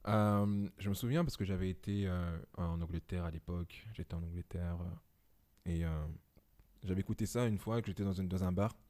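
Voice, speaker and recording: Casting: young man in his twenties, low mellow voice, reflective podcast guest who hesitates often. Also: fairly even intonation, moderate pace. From Belgium. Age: 20s. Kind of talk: casual podcast conversation. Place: home.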